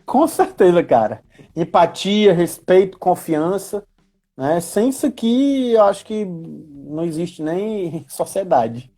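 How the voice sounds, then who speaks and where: A Brazilian woman talks through ideas in an informal live conversation. Her voice is husky and mellow, sitting a little low for a woman. She teaches as she chats, often seeking agreement with short tag questions. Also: sing-song, slow pace, faint music behind. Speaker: guest explaining role-playing games in education and work. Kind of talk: casual explanatory live chat.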